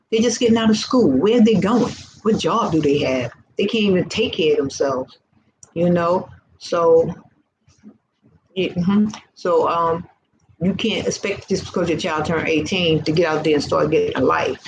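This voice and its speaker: A woman in her early sixties giving fervent, repetitive faith encouragement in an informal vlog. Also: low voice. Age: 60s. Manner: fervent and repetitive, preacher-like exhortation. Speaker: faith vlogger. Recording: noisy background hiss.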